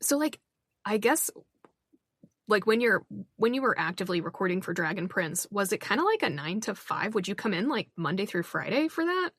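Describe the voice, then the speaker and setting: A woman's voice: mid-range voice, very animated, fairly bright tone, slightly rough, no echo. Woman host, eager interviewer, dry close recording. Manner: enthusiastic, quick reactions. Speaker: podcast host. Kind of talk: casual podcast interview.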